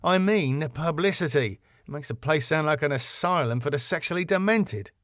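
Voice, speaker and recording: A man's voice: on the high side, animated, moderate pace, fairly bright tone, dry recording, studio quality, voice pushed up. A man voicing a sardonic, ominous and exasperated club manager in a sitcom.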